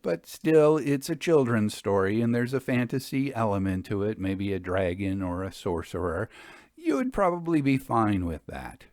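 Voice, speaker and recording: Low-voiced male storyteller, dry sound. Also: darkish tone, no echo. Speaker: storyteller, podcast host. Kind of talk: literary audiobook narration.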